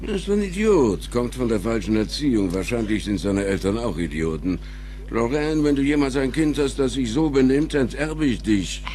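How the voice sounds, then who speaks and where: A man playing a gruff, contemptuous middle-aged father in an audio drama, grumbling and scornful. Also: medium pitch, expressive, moderate pace, mellow, slight background noise, lightly acted. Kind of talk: audio drama film dialogue.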